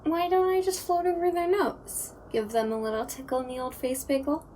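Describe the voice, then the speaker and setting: A man's voice: extremely high pitch, level tone, fairly quick, a little mellow, a little hiss or hum. A man playing a silly, wisecracking ghost prankster in a comedic audio roleplay, with a goofy, singsong, playful delivery.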